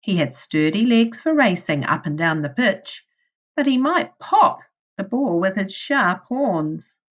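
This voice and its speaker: A woman teacher reading a children's storybook aloud in a deliberate way, addressing young children. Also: medium pitch, expressive, highs cut off. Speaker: primary school teacher.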